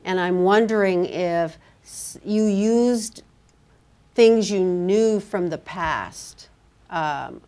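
Lower-voiced middle-aged woman interviewer. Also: drawn-out words, dark tone. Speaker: interviewer, moderator. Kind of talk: conversational on-stage interview. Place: on stage in an auditorium before an audience.

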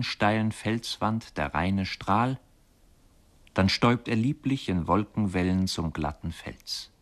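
An actor as a solemn reciter of classical poetry in a radio production. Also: male voice, deep voice.

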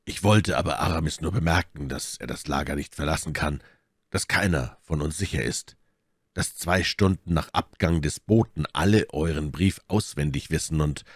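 Guy playing a cool wry musketeer leader, really low voice, measured, audiobook, dry sound. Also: breathy edge, dry recording. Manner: measured, unhurried, authoritative. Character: calm, commanding, wry older male musketeer. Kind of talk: audiobook character dialogue.

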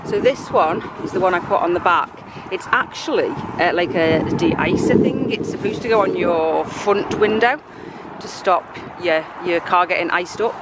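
A British woman in her thirties giving a relaxed, chatty walkthrough of her car, filmed on a handheld camera. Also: lowish voice, very noisy. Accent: British English. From United Kingdom. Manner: chatty, relaxed, lots of fillers. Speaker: vlogger. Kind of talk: casual show-and-tell walkthrough. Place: inside and around a car, outdoors by the sea.

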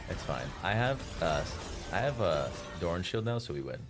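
A young man with a very low voice talks into a headset while he streams. His first language is Farsi, and he chats with his viewers in a loose, self-mocking way. Soft game music plays behind him. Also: big pitch swings, moderate pace. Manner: joking, self-mocking, chatty. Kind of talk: casual stream commentary. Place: small room indoors. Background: faint game music.

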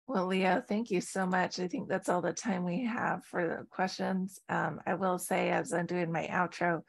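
A woman's voice: lowish voice, vocal fry. A woman hosting a webinar on a video call, reading out questions and moderating. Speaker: webinar host.

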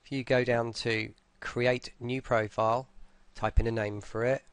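A man presenting a software tutorial in a casual, informal way, explaining hesitantly step by step. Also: mid-range voice, level tone, a little slow, smooth, no echo. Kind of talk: casual screen-recorded tutorial narration.

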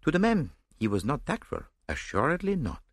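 A man voicing a fussy, mocking male Belgian detective, dry and precise, in a lively sing-song. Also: fairly high pitch, fairly quick, no room sound, pitched above the performer's own voice. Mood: musing.

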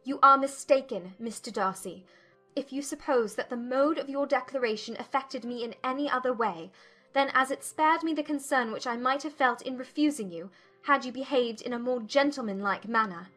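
An actress playing a spirited, indignant young gentlewoman in a dramatised audiobook, with formal, emphatic diction. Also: sits high, normal speed, faint music behind. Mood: controlled anger.

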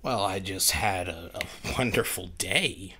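A man's voice: low voice, a little slow, bright, forceful tone, breathy edge, heavily acted. A man playing a meek, wounded person arguing with his own reflection, hesitant, stammering and defensive.

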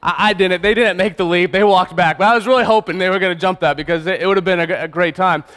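Pastor guy preaching on a church stage, really high voice, lots of restarts, big echoey hall. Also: steady tone, fairly bright tone. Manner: earnest, emphatic preaching with slowed stresses and restarts. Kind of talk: sermon. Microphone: stage mic, far camera.

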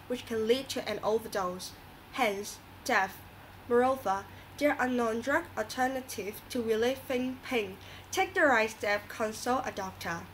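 A woman's voice: fairly high pitch, a bit bright, a little hiss or hum, rough recording. A woman playing an earnest, moralizing talk show host in a scripted radio drama, reading didactically.

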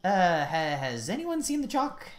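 A woman's voice: lowish voice, huge pitch swings, unhurried, voice pushed down. A woman voicing a timid, flustered female monster schoolteacher in a video game, hesitant and stammering nervously.

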